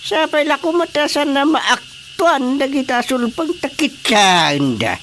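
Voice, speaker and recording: A man's voice: very high-pitched, big pitch swings, measured pace, bright, forceful tone, slight background noise, full character voice. An actor playing a weary, principled older fisherman father in a radio drama, grumbling expressively. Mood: concerned, grumbling.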